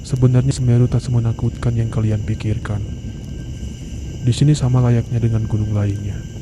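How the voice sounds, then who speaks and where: Man playing a calm young mountain guide, noisy background. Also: medium pitch, moderate pace, lightly acted. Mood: calm, reassuring. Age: young adult. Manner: reassuring, advising. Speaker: volunteer mountain guide character. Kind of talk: animated horror story dialogue.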